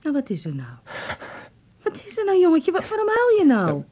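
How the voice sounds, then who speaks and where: Fussing, expressive mother, slightly muffled. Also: very high voice, moderate pace, full character voice. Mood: tender, fussing. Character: doting, fussing, sharp-tongued middle-aged mother. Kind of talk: Dutch radio drama acting.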